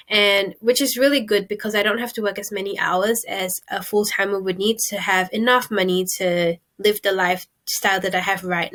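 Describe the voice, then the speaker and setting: A woman's voice: medium pitch, pushed and bright. A young woman in her twenties from Singapore, chatting casually to her webcam in a small room, gesturing as she talks. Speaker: vlogger. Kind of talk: casual talk to camera.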